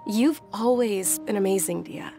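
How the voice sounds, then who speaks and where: A woman voicing a polite, gentle and earnest young noble lady in a video game. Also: mid-range voice, moderate pace, creaky, faint music behind, close to an everyday voice. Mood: warm.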